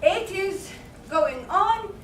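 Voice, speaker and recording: Loud high-voiced woman from Palestine. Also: expressive, very slow. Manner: firm, declarative, loud voice. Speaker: panel speaker. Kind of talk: conference presentation. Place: conference hall. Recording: echoey room, far mic, noisy.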